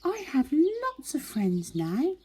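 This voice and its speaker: A woman's voice: high voice, wildly swooping pitch, takes it slowly, dark tone, a little hiss or hum, voice pushed up, heavily acted. A woman voicing a small young male beaver in a children's storybook, eager, lonely and friendly, with earnest, childlike questions. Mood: delighted.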